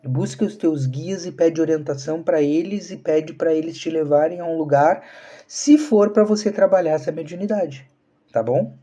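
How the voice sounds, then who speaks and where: Husky man in his thirties. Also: fairly high pitch, normal speed, warm tone. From southern Brazil. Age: 30s. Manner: earnest, rhetorical questions, addresses viewers directly. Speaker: spiritual channel host. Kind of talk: casual live-stream talk to viewers.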